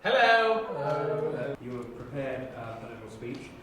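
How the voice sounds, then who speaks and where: A man's voice: mid-range voice, big pitch swings, measured pace, a crowd in the background. A cheerful, approving man hosting an audition panel and chatting casually. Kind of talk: casual chat. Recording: very echoey, distant, noisy room.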